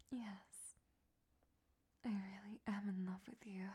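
Woman whispering roleplay, super breathy, slow, soft dark tone. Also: mid-range voice. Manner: hushed whispering, tsundere scolding turning tender. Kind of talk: whispered audio roleplay monologue.

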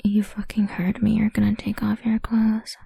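A domineering, cruel woman taunts in a hushed, crude whisper, as a woman puts her on. Her voice is dark and full of gravelly fry. It moves slowly with little rise and fall, and it sits dry and close with no room around it. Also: mid-range voice, completely flat delivery, no echo, heavily acted. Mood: menacing. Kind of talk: whispered audio roleplay.

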